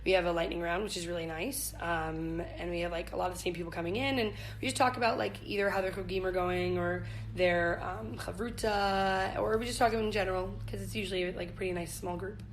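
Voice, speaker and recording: A woman's voice: fairly low pitch, fairly even intonation, a little fast. An American woman in her twenties chatting casually as an interview guest, with an informal, giggly manner. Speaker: interview guest, camp staff leader. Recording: noticeable background noise.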